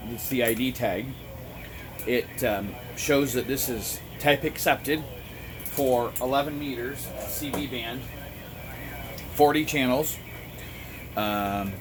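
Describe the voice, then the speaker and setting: A man giving an informal, halting explanation to camera as a hobbyist radio vlogger. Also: medium pitch. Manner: halting, explanatory, apologetic asides. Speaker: amateur radio operator and vlogger. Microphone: phone front camera. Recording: noisy phone recording with background radio. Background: two-way radio sounding in background.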